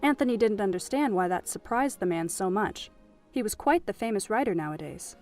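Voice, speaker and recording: A woman's voice: medium pitch, lively intonation, brisk, darkish tone. Woman narrator, scripted storytelling, mid-range voice, faint music behind.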